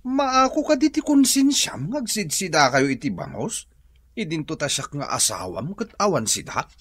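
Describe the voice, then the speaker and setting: Radio drama husband: Ilocano accent, irresponsible, resentful, complaining, very animated pitch. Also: male voice, moderate pace, slightly rough, no room sound, exaggerated voice. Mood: wheedling, sulky.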